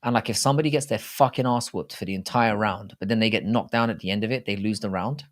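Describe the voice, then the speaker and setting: A man's voice: lowish voice, quick pace. A middle-aged British man co-hosting a casual live sports chat, blunt and sweary as he rambles through banter. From Britain. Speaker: live stream co-host.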